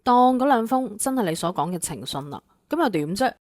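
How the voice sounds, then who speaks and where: Actress as indignant teacher with swooping pitch. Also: female voice, very animated, brisk, a little mellow, no room sound, studio quality, close to an everyday voice. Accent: Hong Kong Cantonese. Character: indignant female teacher standing up for a student. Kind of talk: audio drama acting.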